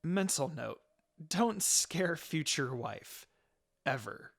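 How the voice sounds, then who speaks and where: A man voicing a quiet, tender young male ninja in a story, speaking in a soft, understated way. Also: a little high, animated, slow, vocal fry, heavily acted. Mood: wry.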